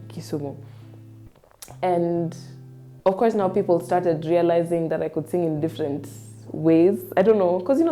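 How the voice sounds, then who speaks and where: A woman with a Kenyan accent, telling stories in a casual, rambling way with lots of fillers, lively when she recalls memories. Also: lowish voice. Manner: chatty and rambling, with frequent "like" and "um". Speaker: singer-songwriter guest. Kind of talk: casual interview storytelling.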